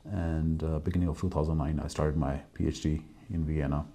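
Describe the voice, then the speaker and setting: A man's voice: a bass voice, little pitch movement, brisk, warm tone. A man in his forties from India, hosting a solo podcast and telling his life story in a hesitant way, with many fillers. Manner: hesitant, many ums and uhs, restarts. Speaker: host.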